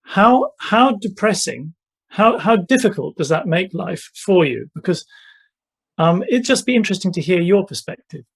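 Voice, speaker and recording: Gravelly, husky man with a polite question. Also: high-pitched, very animated, moderate pace. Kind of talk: panel discussion.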